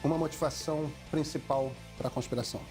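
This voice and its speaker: A man giving an animated, explanatory documentary interview. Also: fairly high pitch, fast, over music. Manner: animated, explanatory, acts out quotes. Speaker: interviewee, history commentator.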